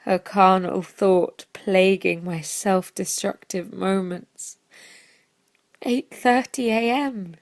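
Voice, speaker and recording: Slow, creaky-voiced woman reading dramatically. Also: mid-range voice, no room sound. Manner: deliberate, theatrical, broken into short phrases. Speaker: reader of a theatre piece. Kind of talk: dramatic poetic monologue reading.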